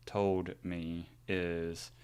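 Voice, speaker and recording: A man's voice: low-pitched, level tone, very slow, darkish tone, smooth. A man in his forties co-hosting a podcast, chatting openly about his own experience in a rambling way, with many fillers and restarts. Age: 40s. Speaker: podcast co-host. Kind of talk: casual chat.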